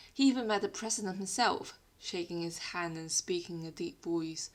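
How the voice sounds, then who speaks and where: A woman who is not a native English speaker, with a British-influenced accent, reads fiction aloud in an expressive, literary storytelling manner. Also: lowish voice. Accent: British-influenced non-native English. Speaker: podfic reader. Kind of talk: dramatic scripted fiction narration.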